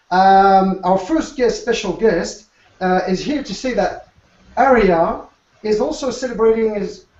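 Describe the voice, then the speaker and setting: A man with an Alsatian French accent co-hosting a live video panel in halting English. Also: sits high, darkish tone. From Alsace, France. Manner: halting, hesitant English with many pauses. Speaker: co-host. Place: room in a restaurant venue in Strasbourg. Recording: echoey, distant, dull sound.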